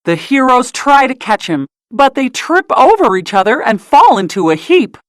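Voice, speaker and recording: A woman narrating a children's storybook in an expressive, deliberate read-aloud style. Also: on the high side, no room sound, clean studio sound.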